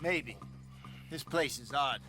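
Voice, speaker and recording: A man's voice: mid-range voice, takes it slowly, music in the background, lightly acted. An actor playing an older American outlaw con man, glib and theatrical, in a western game cutscene. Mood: reflective. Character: wry, smooth-talking older male outlaw con man.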